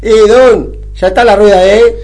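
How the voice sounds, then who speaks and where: A man playing a scrappy, friendly Argentine street windscreen washer in a radio comedy-drama, pleading and familiar in a husky voice. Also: high voice, fairly even intonation, normal speed, warm tone, poor audio. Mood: helpful, friendly. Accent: Argentine (Rioplatense).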